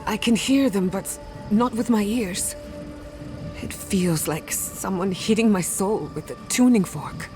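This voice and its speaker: A woman's voice: medium pitch, measured pace, natural voice. An actress playing a headstrong woman adventurer in a video game, shaken and intense, bluntly demanding answers. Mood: awed, alarmed. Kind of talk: video game character acting. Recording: music behind the voice. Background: music.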